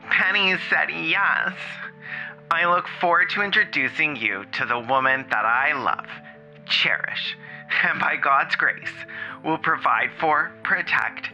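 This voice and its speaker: Someone putting on a solemn churchy young guy announcing stuff, bright voice, music behind. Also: mid-range voice, highs cut off, exaggerated voice.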